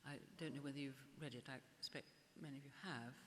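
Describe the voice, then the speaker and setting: An older woman art historian speaking at a public gallery conversation, halting and often restarting her words. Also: extremely low pitch, steady tone. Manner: hesitant, restarts and repeats words. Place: gallery event space before an audience. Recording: echoey hall, faint hiss.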